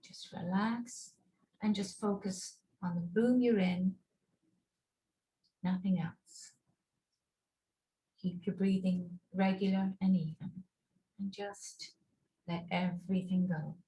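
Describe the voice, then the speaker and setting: A woman leading a guided relaxation and visualization over a video call, speaking in slow, soothing, paused instructions. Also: mid-range voice, steady tone, warm tone, rough recording.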